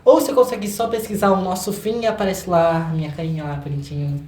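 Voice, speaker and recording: A man's voice: high-pitched, fairly even intonation, fast, a little mellow. Echoey room, young man, Brazilian accent, casual chat, informal, self-deprecating interview guest. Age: young adult. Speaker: interview guest, singer-songwriter.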